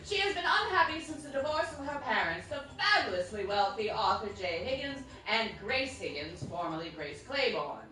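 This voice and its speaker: A woman's voice: on the high side, animated, moderate pace, few pauses, sharp tone. A woman playing a gushing, gossipy 1940s radio society columnist with a theatrical, insinuating delivery. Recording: echoey hall, distant mic.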